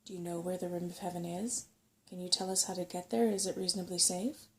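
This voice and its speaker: A woman's voice: on the low side, fairly even intonation, fast talker, close to an everyday voice. A woman voicing an impatient, blunt young female brownie, a small storybook creature, in an informal way.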